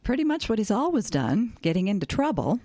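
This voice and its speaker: An older woman playing a fond, wry and chatty small-town grandmother in an expressive radio drama style. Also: medium pitch, fairly quick, dry recording. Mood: gossipy.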